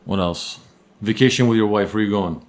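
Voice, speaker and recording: A man hosting a casual podcast, keeping the talk moving with questions and steady mm-hmms. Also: on the low side, brisk. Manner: steady interviewer, lots of mm-hmms. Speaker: podcast host.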